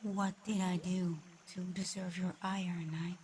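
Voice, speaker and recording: A woman playing a proud, reclusive female dragon in an ASMR roleplay, menacing yet curious, speaking in a haughty, deliberate, mocking way. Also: lowish voice, flat delivery, a little mellow, slight background noise, natural voice. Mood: probing, solemn.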